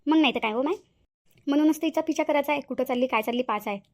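A woman's voice: high voice, very fast, close to an everyday voice. A woman voicing a bossy, nosy village woman who plays detective in a cartoon, urgent and commanding, with a Varhadi Marathi accent. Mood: insistent. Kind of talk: cartoon character dialogue.

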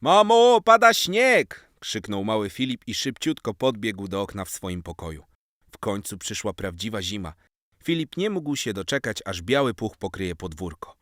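A man narrating a scripted children's story in an expressive storytelling style. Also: on the low side, moderate pace, no room sound, clean studio sound.

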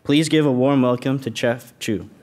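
A man's voice: mid-range voice, moderate pace, very noisy. Young man, student introducer, reading from notes, small slips, lecture hall podium. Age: young adult.

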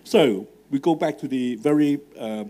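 A man's voice: mid-range voice, measured pace, dark tone. A middle-aged man with a Hong Kong English accent, a native Cantonese speaker, giving a live talk to students in a marquee tent. He speaks conversationally with fillers and asks the audience questions. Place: large marquee tent with a stage. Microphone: handheld microphone.

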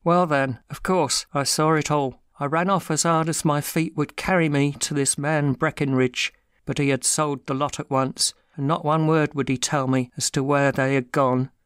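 Man acting a frightened, confessing thief, clear and high. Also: steady tone, moderate pace, no echo, clean studio sound, close to an everyday voice. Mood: despairing. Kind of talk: dramatic audiobook reading.

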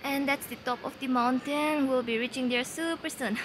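A woman's voice: high-pitched, steady tone, normal speed, background noise. A young woman vlogging while she climbs a forest mountain trail, tired and sweating, chatting to the camera and cheering herself on. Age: young adult. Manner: chatty, breathless from climbing, self-encouraging. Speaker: travel vlogger. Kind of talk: hiking vlog narration. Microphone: handheld camera held close.

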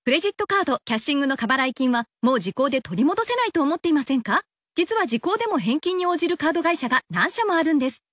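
Female advert announcer, high-pitched and very melodic, scripted radio spot, dry studio sound. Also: sing-song, racing, a bit bright, no echo.

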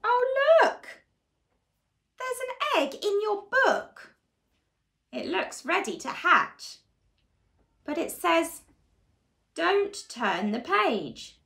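A young woman with a high, bright voice reads a story aloud for little children. Her delivery is very slow and deliberate, with exaggerated expression that invites listeners to join in. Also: big pitch swings, gravelly. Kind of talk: animated read-along storytelling for young children. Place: small living room, on a sofa indoors.

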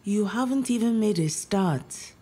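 A woman's voice: mid-range voice, lively intonation, a little slow, dark tone, breathy edge. An actress playing a stressed, middle-aged mother who nags and insists, in a naturalistic audio drama. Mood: exasperated. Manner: scolding, insistent.

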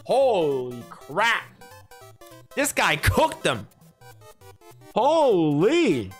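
A man's voice: sits high, husky, faint music behind. Casual man streamer with a headset mic. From United States. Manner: casual, swears, reads posts in a flat deliberate tone. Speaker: streamer reacting to posts. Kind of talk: casual commentary, reading posts aloud. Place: small room indoors at a desk.

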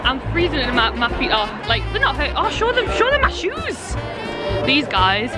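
A woman's voice: high voice, wildly swooping pitch, moderate pace, loud music behind the voice, over a noisy crowd. A young woman, chatting casually to a handheld camera while vlogging around the city at night. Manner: chatty, rambling, lots of "like". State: croaky voice. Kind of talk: casual vlog chat. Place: city streets, shops and a car at night. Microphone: handheld camera at arm's length.

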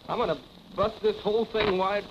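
An actor playing a lovesick, slow-witted young prizefighter with slangy tough-guy talk in a 1940s film. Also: high-pitched, steady tone, lo-fi sound, natural voice. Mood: agitated, wounded. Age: young adult. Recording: noisy vintage film soundtrack.

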